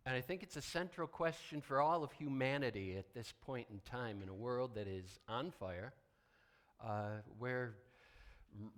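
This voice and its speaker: A man's voice: medium pitch, fairly bright tone. A man preaching an informal sermon as a pastor, conversational and folksy with wry asides. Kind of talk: informal sermon, partly read from notes.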